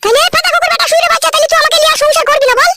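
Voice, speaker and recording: A man voicing a furious, foul-mouthed village woman who scolds and curses in a comic cartoon, with a pitch-raised voice. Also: steady tone, rapid-fire, heavy background noise. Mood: furious scolding. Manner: scolding, cursing, aggressive.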